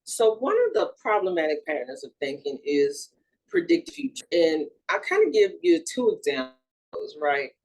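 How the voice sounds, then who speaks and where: A woman's voice: fairly low pitch, sing-song, a little mellow, rough recording. Earnest woman therapist giving a talk. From Houston, Texas. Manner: earnest and measured, with fillers and self-corrections. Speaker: grief and trauma therapist. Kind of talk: recorded educational presentation.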